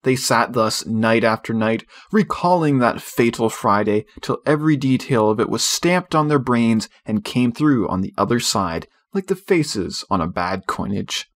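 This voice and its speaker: A man's voice: mid-range voice, lively intonation, a little slow, hardly any pauses. A man reading a classic storybook aloud as an audiobook narrator, in scripted narration.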